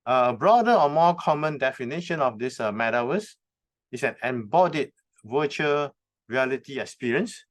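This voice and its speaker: A man gives a conference presentation at a mid-pitched, even level. He talks in a halting way, stopping on frequent fillers as he explains each term step by step. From recently moved from North America. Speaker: conference speaker. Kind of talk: presentation talk. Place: conference venue.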